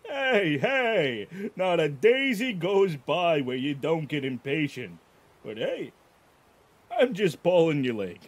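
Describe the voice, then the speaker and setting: A man voicing a pun-loving, teasing cartoon dog with a husky voice and jokey patter. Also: a little high, big pitch swings, takes it slowly, exaggerated voice. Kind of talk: cartoon character voice acting.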